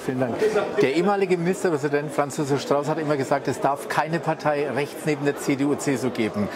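A man's voice: on the high side, fast, a loud crowd. A middle-aged man interviewing a guest and reading formal, prepared questions into a handheld mic in a showroom corner. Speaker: interviewer for a local channel. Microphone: handheld branded mic.

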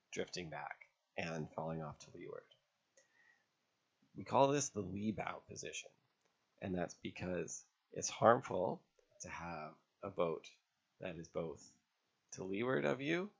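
A man narrating an instructional lesson as an instructor, explaining step by step in a measured way with pauses. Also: low-pitched, gravelly, husky, lo-fi sound. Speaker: sailing instructor. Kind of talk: instructional narration over drawn diagrams.

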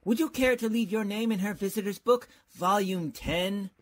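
Man acting a fussy male college dean, husky, high-pitched, sardonic, put-upon, radio comedy. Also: normal speed. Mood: exasperated sarcasm. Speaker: actor playing the lead in a radio comedy.

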